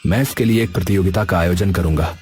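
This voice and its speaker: Earnest, low-voiced young food seller played by a man. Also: fast, darkish tone, soft background music, close to an everyday voice. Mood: sympathetic, reassuring. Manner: polite, earnest, reassuring. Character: kind, polite young rajma-rice seller helping others. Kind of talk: Hindi cartoon dubbing.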